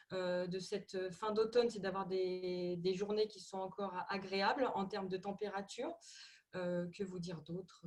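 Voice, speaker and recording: Parisian woman, middle-aged, halting delivery full of fillers, video call talk. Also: mid-range voice, darkish tone. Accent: Parisian French. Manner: hesitant, many fillers and repeated words. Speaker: tourism ministry representative.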